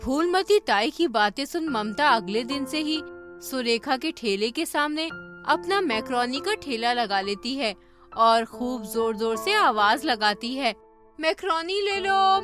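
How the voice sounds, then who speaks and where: A woman's voice: on the high side, expressive, unhurried, over music. A woman reading scripted story narration for a cartoon.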